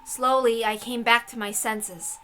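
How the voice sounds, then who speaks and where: A woman giving a dramatic, theatrical narrated reading of a story in an expressive manner. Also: on the high side, measured pace. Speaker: reader of a visual novel.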